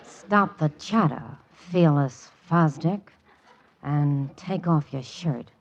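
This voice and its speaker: A woman's voice: sits low, takes it slowly. A woman playing a sultry, wisecracking, brassy lady doctor in a 1940s radio comedy. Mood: bossy, teasing. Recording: dull, noisy, clicking 1940s radio recording.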